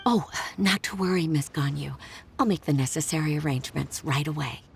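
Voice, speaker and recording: A woman's voice: sits low, a little fast, gravelly, slight background noise. A woman voicing a deferential, efficient office assistant in a video game, courteous and businesslike.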